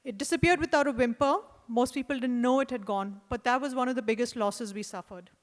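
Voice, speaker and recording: A woman with an Indian accent, an artist reading a prepared lecture in a measured, deliberate way, with wry asides and hesitant fillers. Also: fairly high pitch. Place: lecture hall at an art event. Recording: long hall reverb.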